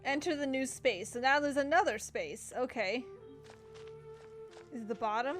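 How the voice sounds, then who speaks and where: A woman giving casual live gameplay commentary as a streamer, playful, informal and quick to react. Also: high-pitched, a bit bright. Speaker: game streamer. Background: game music.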